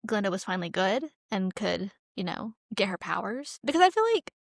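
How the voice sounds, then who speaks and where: A woman's voice: medium pitch, big pitch swings, a little fast, creaky, dry recording, studio quality. A woman co-hosting a podcast, talking in a casual, conversational style. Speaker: podcast co-host. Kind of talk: casual chat.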